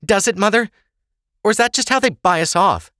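A man's voice: sits high, big pitch swings, fairly quick, sharp tone, no room sound, studio quality, voice pushed up, exaggerated voice. A man voicing a restless young noblewoman, a blunt but loving daughter, earnest and protesting, in an audiobook story. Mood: earnest, upset.

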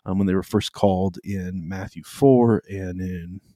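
Guy on a podcast call, kinda low voice, dry sound, rambly and laid back. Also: steady tone, unhurried, mellow, dry recording. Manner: loose, rambling, filler-heavy talk. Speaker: podcast co-host. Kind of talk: casual faith podcast chat.

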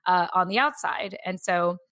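Woman podcast host, lowish voice, dry close sound, casual rambling chat, lots of fillers. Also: normal speed, no room sound. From born on a US military base. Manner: relaxed, rambling, frequent "like" fillers.

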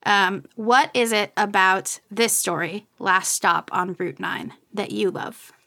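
A woman's voice: medium pitch, slow pace, bright, forceful tone, dry recording, clean studio sound. A woman hosting a podcast interview in a casual, chatty conversational style. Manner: informal, chatty. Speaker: podcast host interviewing a guest.

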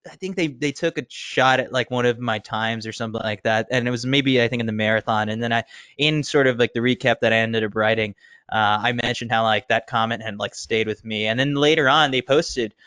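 A man speaking as a guest on a running podcast, relaxed and rambling, with many fillers and restarts. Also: mid-range voice, a little fast, smooth, no room sound. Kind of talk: casual podcast chat.

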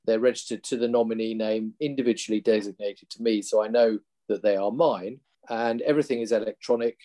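A man with a Lincolnshire accent, an investment manager explaining his work in an interview in a measured way. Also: mid-range voice, warm tone. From England. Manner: measured, explanatory, restarts at times.

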